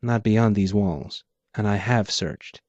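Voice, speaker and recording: A man's voice: fairly low pitch, slow pace, darkish tone, dry recording. A man voicing a weary, enigmatic and bitter woman in an audio story, intoning and disdainful. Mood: solemn intoning. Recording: dull muffled lo-fi audio.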